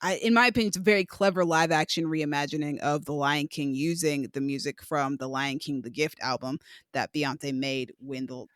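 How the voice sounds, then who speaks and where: A man's voice: fairly high pitch, fairly even intonation, moderate pace, a bit bright, clear, resonant tone, dry recording, clean studio sound. A man co-hosting a casual movie review podcast, enthusiastic and emphatic. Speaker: podcast co-host.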